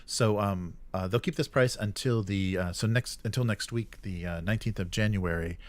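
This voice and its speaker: Man, lowish pitch, dry close sound, casual news co-host, halting hesitant delivery. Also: dry recording. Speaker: co-host of a tabletop gaming news show. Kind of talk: casual news rundown and chat.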